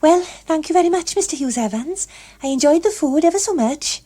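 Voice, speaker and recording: A prim young working woman played by a female audiobook reader, pitched high and swooping. She is polite and awkward, yet firm when flustered. The delivery is that of a character in a short story. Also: lively intonation, a little fast, dark tone. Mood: polite, bright.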